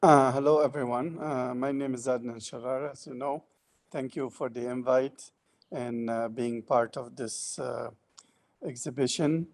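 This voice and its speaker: A man from Lebanon and Sierra Leone, speaking as an artist on a panel about his work, halting often with filler sounds. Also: medium pitch, fairly even intonation, gravelly.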